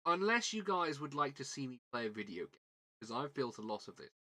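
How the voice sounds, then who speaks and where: Bright-voiced southern British man chatting casually. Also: mid-range voice, sing-song, a little fast. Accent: southern British English. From southern England. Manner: rambling, self-deprecating, repeats words and phrases. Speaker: livestream host. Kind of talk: casual livestream chat while building a model kit.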